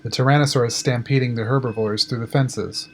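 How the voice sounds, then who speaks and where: A man's voice: medium pitch, steady tone, fairly quick, a little hiss or hum, lightly acted. A man playing a weary, stressed control-room engineer in an audio drama. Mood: exhausted.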